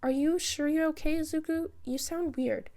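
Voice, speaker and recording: A woman voicing a brisk, caring mother who is quick to scold, in a read-aloud story. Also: high voice, steady tone, dark tone, pitched above the performer's own voice. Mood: concerned.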